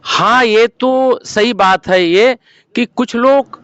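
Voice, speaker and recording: A man from Madhya Pradesh, answering an interviewer earnestly in a halting, deliberate way and pressing points with rhetorical questions. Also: very high voice, fairly bright tone, slight background noise. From Madhya Pradesh, India. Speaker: protest participant being interviewed. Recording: dull lo-fi close recording.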